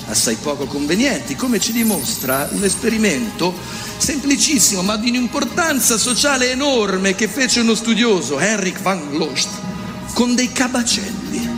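Lively high-voiced man reading over music. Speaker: reader. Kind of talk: dramatic reading of an essay. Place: radio studio. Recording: radio broadcast with music behind.

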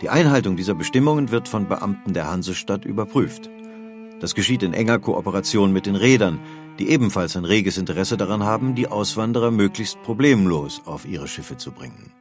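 A man's voice: on the low side, lively intonation, a little fast, soft background music. A man reading scripted historical documentary material as a narrator.

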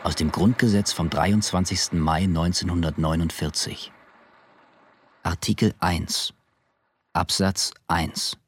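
A man giving a solemn, measured, formal reading of a legal text. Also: low voice, steady tone, slight background noise.

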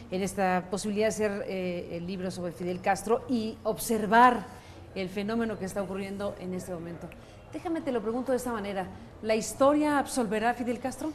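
Female interviewer, formal welcoming tone, mid-range voice, slight background hiss. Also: medium pitch. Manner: warm, formal welcomes and direct questions with light laughter. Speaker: interviewer host. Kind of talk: interview hosting.

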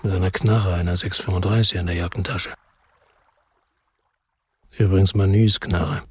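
Husky, embittered noir hero. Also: male voice, low voice, fairly even intonation, moderate pace, no echo. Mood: brooding narration. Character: embittered, defiant noir hero telling his own story. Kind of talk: German radio drama acting and first-person narration.

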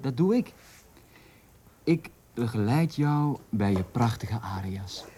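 A man's voice: medium pitch, animated, slow pace. A man with a Flemish accent acting a doting, cultured husband of small stature in a 1980s radio drama. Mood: eager, coaxing.

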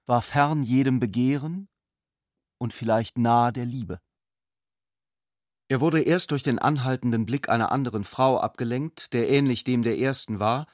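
A man's voice: mid-range voice, no echo, clean studio sound. A man reading scripted literary narration for a radio play.

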